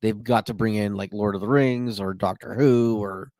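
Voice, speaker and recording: A man's voice: lowish voice, steady tone, moderate pace, a little mellow, no room sound. Dry-sounding male podcast co-host. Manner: enthusiastic, explains card game rules. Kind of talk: casual chat.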